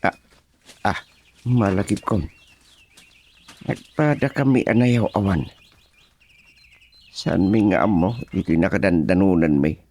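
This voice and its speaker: An actor playing a bewildered man lost in a forest in a radio serial, with a Filipino accent and drawn-out, exclamatory speech. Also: low-pitched, darkish tone. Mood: anxious, calling out.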